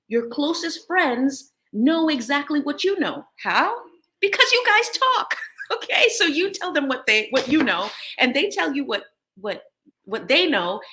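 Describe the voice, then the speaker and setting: Middle-aged American woman, motivational host; high, lively delivery, laughs easily. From United States. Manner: animated, laughs often. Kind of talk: motivational talk.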